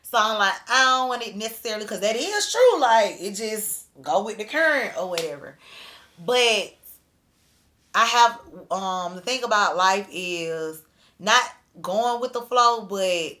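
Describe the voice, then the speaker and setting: A woman's voice: mid-range voice, expressive, a little slow, pushed and bright. A woman in her thirties co-hosting a podcast, talking casually and reflectively in loose asides. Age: 30s. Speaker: podcast co-host. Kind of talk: casual chat.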